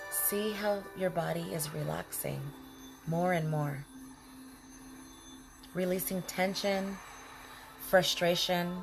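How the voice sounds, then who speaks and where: A woman leading a guided body scan meditation in a calm, soothing manner, speaking in short, unhurried phrases with pauses. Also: on the low side, vocal fry. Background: music behind the voice.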